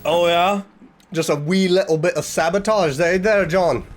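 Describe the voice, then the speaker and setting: A man giving casual, sarcastic reaction commentary with animated, mock-excited asides over background music. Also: high voice, moderate pace. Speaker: reaction video host.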